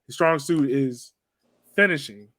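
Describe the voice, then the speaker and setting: A man's voice: medium pitch, unhurried, fairly bright tone, no echo. A man hosting a casual sports podcast, talking through a game in conversational analysis. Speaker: podcast host moderating a soccer discussion.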